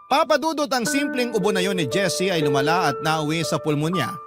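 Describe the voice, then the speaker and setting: A man's voice: sits high, fairly quick, music in the background, natural voice. A man with a Filipino accent playing a regretful letter writer, narrating a sad love story in first person for radio. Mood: grave. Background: loud musical underscore.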